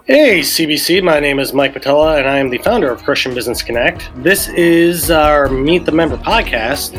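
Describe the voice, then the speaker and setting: A man hosting a podcast interview, relaxed and casual with hesitant fillers. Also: on the high side, normal speed, soft background music. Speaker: podcast host.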